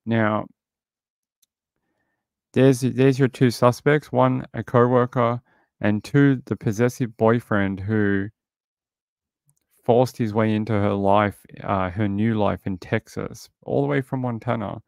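A man's voice: on the low side, steady tone, slow pace, vocal fry, pauses often, a little mellow, no room sound. A middle-aged man hosting a casual livestream, greeting viewers by name and recapping a true crime episode. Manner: chatty, greets viewers, recaps and reads articles aloud. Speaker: livestream host reviewing a true crime show.

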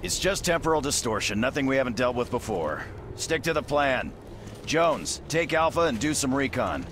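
A man playing a gruff, commanding special forces squad leader in a video game, giving clipped orders. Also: mid-range voice, expressive, moderate pace, background noise, lightly acted. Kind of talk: dramatic video game acting. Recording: music behind the voice.

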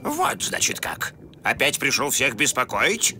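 A man's voice: mid-range voice, huge pitch swings, unhurried, pushed and bright, soft background music, full character voice. A man playing a grumpy old fish in a cartoon, grumbling and stern.

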